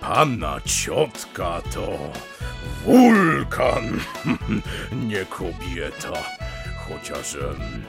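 A man playing a smug, lecherous suitor with a slow, suggestive manner in a radio comedy drama. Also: low-pitched, sing-song, vocal fry, music in the background, full character voice.

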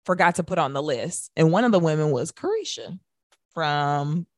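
A woman with a fairly low voice co-hosts a casual radio talk show. She speaks conversationally and puts her opinions forward. The sound is dry, with no room around it. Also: expressive, normal speed, no room sound. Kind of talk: casual chat.

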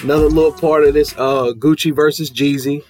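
A man's voice: fairly high pitch, level tone, brisk, over music. Laid-back man hosting a podcast. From Kentucky. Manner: laid-back, conversational with fillers. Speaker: podcast host. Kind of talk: casual podcast chat.

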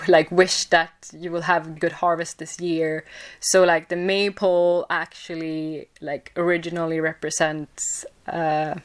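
A young woman with a Swedish accent, answering interview questions casually with many pauses and fillers. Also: fairly low pitch. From Sweden. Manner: hesitant, many fillers and restarts. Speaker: podcast guest.

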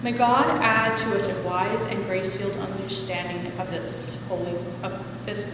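A woman with a medium-pitched voice reads scripture formally, phrasing it deliberately and with expression. She is heard from a distance in a strongly reverberant church, with clear background noise and muffled sound. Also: steady tone. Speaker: scripture reader at a church service. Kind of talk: formal scripture reading aloud. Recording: very echoey hall, distant mic, noisy, muffled.

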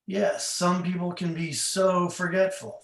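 A man's voice: high-pitched, flat delivery, unhurried, gravelly, a little hiss or hum. Nagging, suspicious husband read by a man, echoey room. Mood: veiled menace. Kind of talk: play reading.

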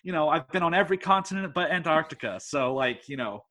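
A man's voice: a little high, animated, quick pace, pushed and bright. A man in his twenties from Texas, a guest on a podcast chatting casually and enthusiastically, full of "like" and "uh". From Texas, USA. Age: 20s. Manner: enthusiastic and rambling, heavy use of "like" and "uh". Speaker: podcast guest, host of a film podcast.

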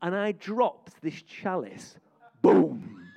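A man's voice: high-pitched, drawn-out words, creaky, highs cut off. A man, a vicar, telling funny stories in an interview in church. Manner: jokey, animated storyteller. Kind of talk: church interview, comic storytelling.

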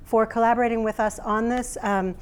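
American woman, thirties, high voice, animated live talk, laughs between points. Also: brisk, smooth. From United States. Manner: animated, lively, laughs while making points. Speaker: keynote speaker. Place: workspace event room.

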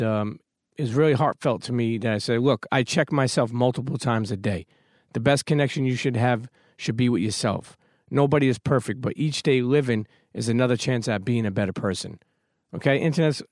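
Man, podcast host, emphatic pep talk, casual swearing, medium pitch, dry close sound. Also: a little mellow, no room sound.

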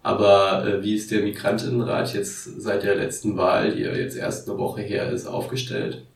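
A young man in his twenties hosting a casual podcast, with a low, gravelly voice. He asks questions in a relaxed, friendly way, heard from some distance in an echoey room. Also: normal speed. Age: 20s. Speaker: podcast host. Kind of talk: casual interview. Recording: roomy echo, mic at a distance.

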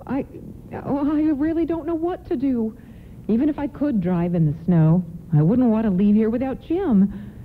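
A woman's voice: on the high side, expressive, moderate pace, natural voice. A woman acting a courteous, hesitant, worried mother in a radio play. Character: polite, worried mother stranded with her children. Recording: lo-fi, faintly noisy radio broadcast.

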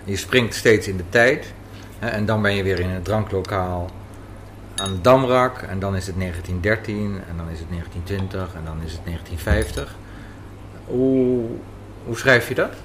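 A man's voice: deep voice, moderate pace, a bit bright. A man interviewing someone informally, putting curious, probing questions. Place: café. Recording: faint background room noise.

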